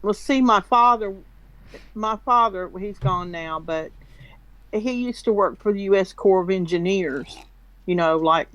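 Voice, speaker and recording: Slow-talking woman telling a story. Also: medium pitch, dry recording, poor audio. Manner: halting, restarts her sentences. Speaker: caller. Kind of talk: casual storytelling in a voice chat. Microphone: computer mic in a voice chat.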